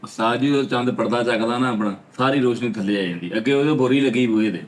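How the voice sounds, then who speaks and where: A man's voice: mid-range voice, quick pace. A man with a Pakistani Punjabi accent swapping improvised comic banter on a panel show. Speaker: comedy panelist.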